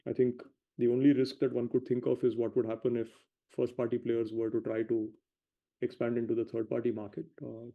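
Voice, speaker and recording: A male company executive giving measured, explanatory answers on an earnings call, hedging and pausing between short phrases. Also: medium pitch, creaky. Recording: dull lo-fi conference call audio.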